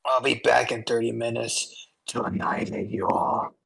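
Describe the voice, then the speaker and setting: A man voicing a sneering, gloating mad-scientist villain with a gravelly, husky voice in an amateur comedic fanfiction reading. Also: level tone, normal speed, fairly bright tone, heavily acted. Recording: old-timey low-quality mic, music.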